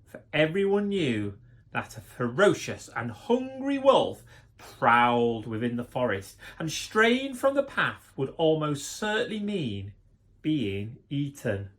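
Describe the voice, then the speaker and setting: A man's voice: on the high side, huge pitch swings, takes it slowly, fairly bright tone. A man who works as a primary school teacher, reading a children's story expressively and addressing young listeners directly.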